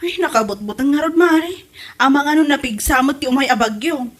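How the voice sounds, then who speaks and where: A woman's voice: sits high, brisk, natural voice. A woman playing a chatty, friendly neighbor in an amateur comedy audio drama, full of sighing exclamations. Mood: chatty, concerned.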